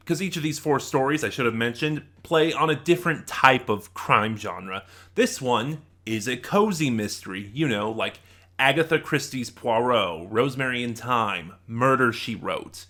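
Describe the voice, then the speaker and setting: A man's voice: mid-range voice, fairly bright tone. A man giving a casual spoken review in a conversational, rambling way, often interrupting himself as he goes. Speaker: reviewer, channel host.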